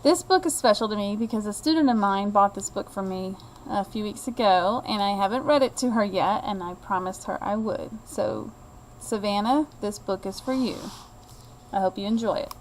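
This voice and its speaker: A woman reading a children's picture book aloud in an expressive, deliberate way, like a teacher to a child. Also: medium pitch, a little hiss or hum, poor audio. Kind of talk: children's storybook read-aloud.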